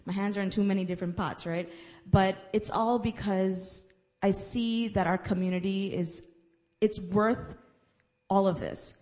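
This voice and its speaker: A woman's voice: mid-range voice, steady tone, a little slow, darkish tone. Earnest woman speaking on a panel. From United States. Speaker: panelist, community organizer and lawyer. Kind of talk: panel talk to a college audience. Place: college event room.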